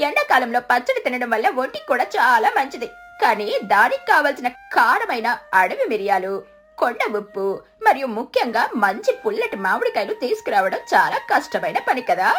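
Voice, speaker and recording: A woman's voice: a little high, big pitch swings, a little fast, fairly bright tone, soft background music. A woman voicing a kindly, encouraging female village bird who organizes everyone in a children's cartoon, speaking expressively. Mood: keen. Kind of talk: Telugu children's cartoon dialogue.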